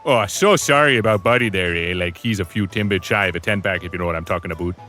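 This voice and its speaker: A man's voice: deep voice, fast talker, bright, forceful tone, faint music behind. A man voicing a big, fake-friendly Canadian hunter with a folksy, chummy manner and a Canadian accent in an anime parody. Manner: folksy, chummy, tagging "eh" and "don't you know". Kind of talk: comedic anime parody voice acting.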